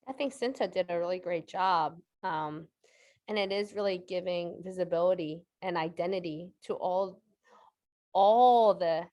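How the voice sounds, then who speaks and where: A woman educator reflects aloud on a panel, thoughtful and a little halting. Her voice sits somewhat low for a woman. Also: darkish tone. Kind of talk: panel discussion.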